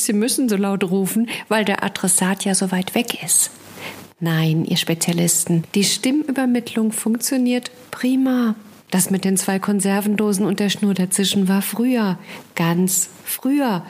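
A woman delivering a humorous, conversational spoken column in a wry, expressive manner, with pointed pauses and emphasis and a breathy, husky voice. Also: mid-range voice. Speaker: columnist presenting a humorous monologue.

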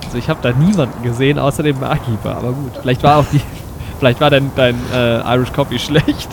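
A male game master leads an improvised audio adventure. He moves between storytelling narration and playful role-play in a mid-pitched voice, with clear vehicle-like ambience behind him. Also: fairly even intonation, fast talker, background noise.

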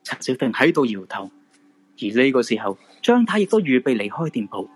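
A man's voice: mid-range voice, very animated, brisk, husky, soft background music. A man with a Hong Kong Cantonese accent reading scripted narration for a radio drama.

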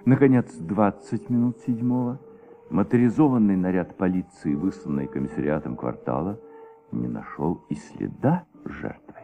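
A man performing as the dramatic, measured narrator of a detective story in a Soviet radio play. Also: low voice, creaky, mellow. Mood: matter-of-fact. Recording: dull old radio recording, faint music.

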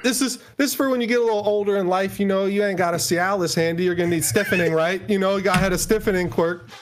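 A man joking and rambling excitably in a casual group chat. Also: sits high, fast talker.

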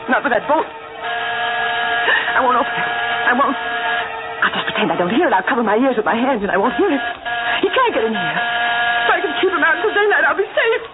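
An actress playing a distraught, frightened wife in breathless, melodramatic old radio horror style. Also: female voice, a little high, big pitch swings, brisk, pauses often, over loud music. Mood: fearful, desperate. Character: distraught, frightened married woman haunted by her husband. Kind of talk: 1940s radio horror drama acting. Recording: scratchy, tinny old radio recording, heavy noise.

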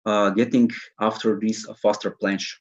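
A man's voice: on the low side, level tone, moderate pace, dull audio. A man in his early twenties with a Bulgarian accent, giving casual answers as an interview guest. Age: early 20s. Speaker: interview guest, calisthenics athlete.

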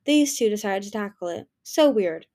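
A spoiled young dragon princess, put on by a woman reading the story, talks in a lifted, wheedling way that tips into whining when cornered. The tone is soft and mellow rather than sharp. She sounds young, pampered and used to getting her way. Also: fairly high pitch, a little fast, close to an everyday voice. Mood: sugary. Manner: bright, wheedling and whiny.

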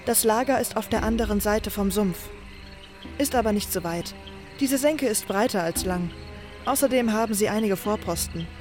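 A man's voice: high-pitched, moderate pace, music in the background, voice pushed up, lightly acted. A man voicing a terse, matter-of-fact woman who guides a companion through a fantasy swamp in an audio story. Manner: terse, plain-spoken.

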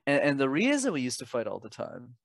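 A man's voice: mid-range voice, wildly swooping pitch, a little fast, gravelly, dry recording. Young man, dry close sound. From Canada. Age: young adult. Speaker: interview guest, communication coach. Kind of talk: conversational advice and personal anecdotes.

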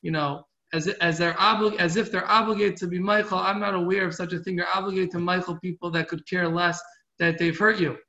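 This is a middle-aged man with a high-pitched, forceful voice, teaching an informal religious class. He speaks earnestly and haltingly, often repeating a phrase before finishing the thought. The recording is echoey and somewhat muffled. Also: little pitch movement. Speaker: rabbi giving a class. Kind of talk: informal religious lecture with question and answer.

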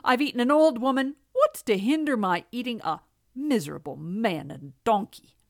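A woman's voice: a little high, lively intonation, normal speed, gravelly, no room sound, clean studio sound. A woman voicing a boastful, greedy fat tomcat in a children's storybook, with a swaggering, scornful manner.

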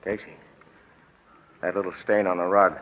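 A man's voice: low voice, flat delivery, moderate pace, slight background noise, natural voice. An actor playing an edgy, easily cowed little man suspected of murder in old-time radio melodrama style. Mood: uneasy. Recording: dull vintage radio recording.

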